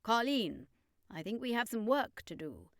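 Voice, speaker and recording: A woman's voice: a little high, big pitch swings, a little slow, no room sound, voice pushed up. A confident circus master, a man voiced by a woman reader in an audiobook fantasy. He explains things with a commanding, businesslike manner. The recording is dry and close. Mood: assured, businesslike. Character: assured male circus master, leader and storyteller.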